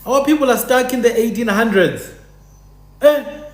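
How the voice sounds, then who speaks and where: A man's voice: very high-pitched, a little slow. Passionate South African man, echoey room. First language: a South African language, not English. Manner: passionate, exasperated, rhetorical. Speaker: host. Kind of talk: casual monologue.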